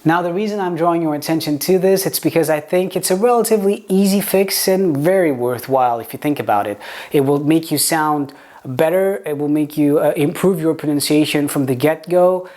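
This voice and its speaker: A man whose first language is Portuguese, speaking English with a Portuguese accent, teaching pronunciation in a video lesson and demonstrating words slowly. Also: fairly high pitch, a little mellow. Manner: explains with examples, slow deliberate demonstration words, some stammered restarts.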